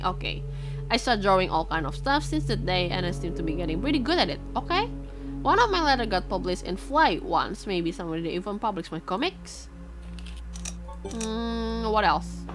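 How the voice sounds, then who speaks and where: A woman with an Indonesian accent, a native Indonesian speaker, streaming as a VTuber, reading a visual novel aloud and chatting with viewers, expressive and quick to react. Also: mid-range voice. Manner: expressive, reacts loudly, switches quickly between reading and chat. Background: game music.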